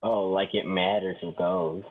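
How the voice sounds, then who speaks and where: A man playing a sarcastic, defensive sitcom smooth talker in a script read, over thin phone-like audio. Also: low voice, steady tone, unhurried. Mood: dismissive.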